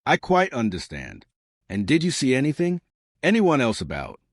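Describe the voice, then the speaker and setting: A man voicing a genteel elderly woman sleuth in an audiobook mystery, gentle, polite and quietly probing. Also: mid-range voice, huge pitch swings, normal speed, no room sound, studio quality, pitched above the performer's own voice. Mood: mildly curious.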